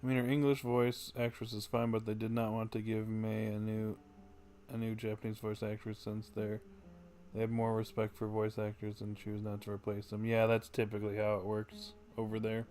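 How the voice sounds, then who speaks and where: A man's voice: fairly low pitch, monotone, normal speed, darkish tone, clean tone. A man giving casual commentary while livestreaming a game. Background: game music.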